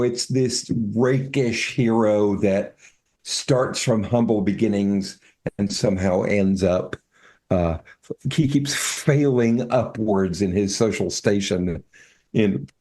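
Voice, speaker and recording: An older man with a low, mellow voice talks casually as a co-host. He stops and restarts often and fills the gaps with ums. His tone stays soft and unhurried. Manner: halting, with many fillers and restarts. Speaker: podcast co-host. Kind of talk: casual chat.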